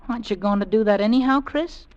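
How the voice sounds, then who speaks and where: A man's voice: high voice, fast talker, smooth, lo-fi sound, close to an everyday voice. An actor playing a cheeky, earnest young British army drummer boy in an old-time radio drama. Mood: tender, manly bravado. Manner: earnest, cocky, deferential to officers.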